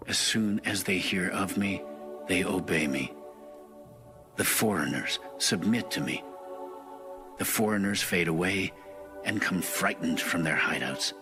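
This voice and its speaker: Deep-voiced male scripture narrator over music. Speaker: audio Bible narrator.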